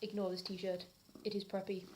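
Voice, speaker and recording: A woman's voice: mid-range voice, level tone, moderate pace, very noisy. A British woman co-hosting a comedy reading show, talking casually to camera with mock seriousness.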